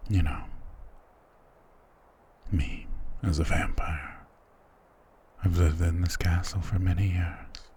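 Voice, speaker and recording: A man playing a doting, possessive immortal vampire lord in an intimate ASMR romance roleplay, husky, tender and softly commanding. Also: steady tone, slow, long pauses, slight background noise. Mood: tender, wistful. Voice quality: breathy, husky low whispering.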